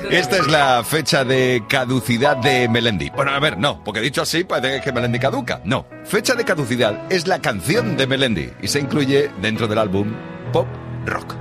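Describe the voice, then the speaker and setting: A man with an accent from Spain hosting a lively morning radio chat. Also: mid-range voice, normal speed, music in the background. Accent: Spanish from Spain. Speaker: radio host.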